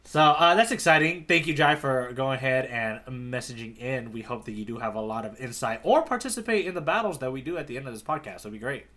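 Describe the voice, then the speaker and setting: A man co-hosting a casual podcast as its guide, bantering in a playful, animated hype-man manner. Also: mid-range voice, fast talker, few pauses. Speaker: podcast co-host, tour guide. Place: remote online podcast recording.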